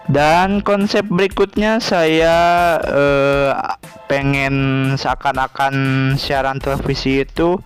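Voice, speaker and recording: A man narrating a casual tutorial in an informal, halting way with frequent pauses. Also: medium pitch, clear, resonant tone. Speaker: video creator and tutorial presenter.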